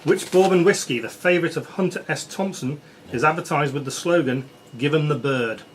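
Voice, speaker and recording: A man's voice: on the high side, fairly quick. A man acting as quiz master, reading out questions at a live quiz in a social club. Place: social club room with background noise. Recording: noisy club room recording.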